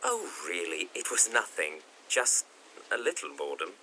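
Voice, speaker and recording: An actor playing a modest young gentleman architect in a period audio drama, apologetic and hesitant in manner. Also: deep voice, wildly swooping pitch, normal speed, slight background noise, heavily acted. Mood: modest, dismissive.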